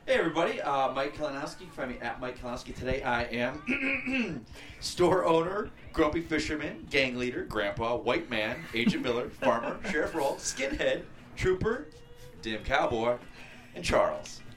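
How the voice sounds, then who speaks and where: A man's voice: medium pitch, sing-song, moderate pace, fairly bright tone. A man who is an actor, casually introducing himself and reeling off a list of parts. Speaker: actor in a table read. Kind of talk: casual self-introduction, listing roles.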